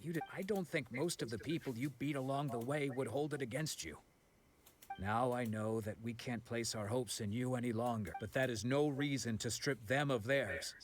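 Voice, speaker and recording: An actor playing a stern, composed wandering swordsman in an anime game, blunt but caring, firm and measured. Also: mid-range voice, a little hiss or hum, close to an everyday voice. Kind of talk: anime video game voice acting.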